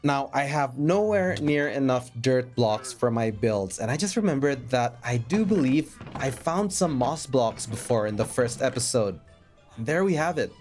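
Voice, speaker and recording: A man narrating his gameplay in a chatty, self-mocking voice-over, a bit raspy from a cold. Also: medium pitch, expressive, measured pace, darkish tone. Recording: faint background music.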